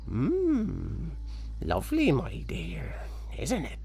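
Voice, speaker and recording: A man voicing a sly old peddler woman in a squeaky, coaxing storybook voice. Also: huge pitch swings, drawn-out words, vocal fry, warm tone, husky, heavily acted. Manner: coaxing, wheedling.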